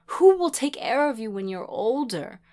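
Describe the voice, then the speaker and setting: A woman's voice: a little high, very animated, moderate pace, dark tone, voice pushed up. A woman voicing a hysterical, bitter, traditionalist mother in an audio story, insistent, wailing and begging. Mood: wailing, demanding.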